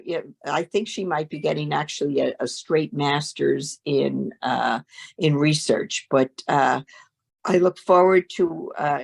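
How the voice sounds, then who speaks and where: This is a woman with a low-pitched voice and a noticeable gravelly fry running through it. She presents a degree program at an online information session, heard through a video call. She talks haltingly, and her sentences keep breaking into fillers and restarts. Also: darkish tone.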